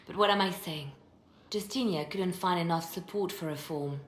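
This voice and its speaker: An actress playing a determined, idealistic female spymaster and religious reformer in a video game, earnest and measured. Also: fairly low pitch, close to an everyday voice. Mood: doubtful, grim. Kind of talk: video game dialogue acting. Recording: echoey, faint background noise.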